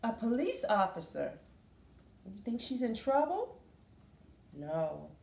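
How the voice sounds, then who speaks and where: A woman reading a children's story aloud expressively, pausing to put questions to her listeners. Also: a little high, huge pitch swings, gravelly, a little mellow, muffled recording, slight background noise. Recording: roomy, echoey sound.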